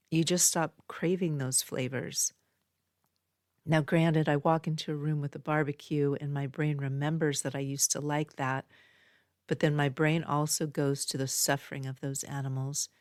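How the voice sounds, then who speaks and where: A middle-aged woman reading a personal letter aloud in an earnest, heartfelt manner. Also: deep voice. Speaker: podcast host.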